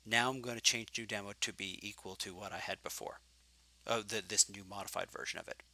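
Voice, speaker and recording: A man teaching programming in a live coding lecture, thinking aloud and correcting himself as he goes, his voice growing hoarse from long talking. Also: fairly low pitch, steady tone, brisk, pushed and bright, low-quality recording. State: getting hoarse.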